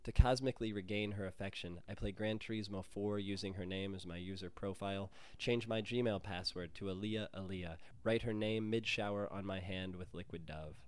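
Male author-reader, low register, scripted short fiction narration. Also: fairly even intonation, moderate pace, few pauses, clear, resonant tone. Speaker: author reading own short story.

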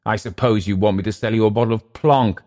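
A man voicing a bitter, dissolute Englishman with an English accent, sneering and halting by turns. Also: fairly low pitch, fairly even intonation, dark tone, pitched above the performer's own voice, close to an everyday voice. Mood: surly.

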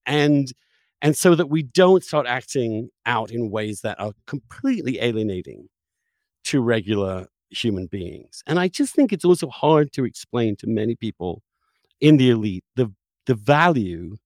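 Animated man with wide-swinging intonation. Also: medium pitch, moderate pace, no room sound, clean studio sound. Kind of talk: opinionated conversational discussion.

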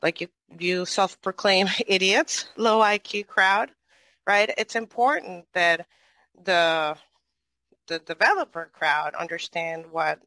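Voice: medium pitch, slow pace, bright, forceful tone, dry recording, rough recording. A moderator from Venezuela in a casual online audio chat, asking a long, rambling question and often tagging on "right?".

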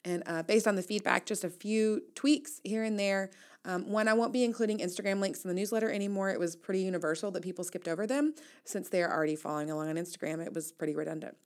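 A woman's voice: mid-range voice, fast. A woman hosting an audio newsletter, reading her casual commentary aloud in a wry, playful, conversational way.

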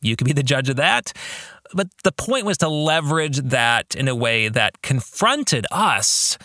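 Bright-voiced man, expressive and measured. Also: medium pitch, no room sound, clean studio sound. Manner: earnest, emphatic, deliberate pauses. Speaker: podcast host and pastor. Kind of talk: reflective book discussion with read-out passages.

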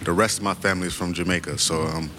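A man's voice: sits low, steady tone, quick pace, sharp tone. A man from Florida talking casually and confidently as an interview guest, plainspoken and a bit boastful. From Florida, United States. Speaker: guest, sea moss vendor. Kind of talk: casual sales pitch and chat. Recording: music playing behind the voice. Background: music.

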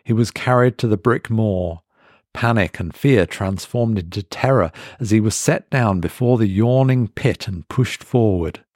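A man reading a scripted horror story as narrator, with a measured, dramatic delivery in short weighted phrases. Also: on the low side, darkish tone, dry recording, clean studio sound. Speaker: story reader.

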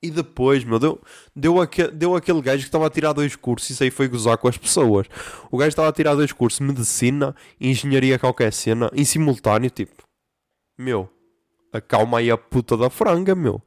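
A man's voice: medium pitch, a little fast, darkish tone, no echo, studio quality. Slangy young man with a European Portuguese accent. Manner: profane, ranting, slangy. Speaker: podcast host. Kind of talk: casual solo podcast chat.

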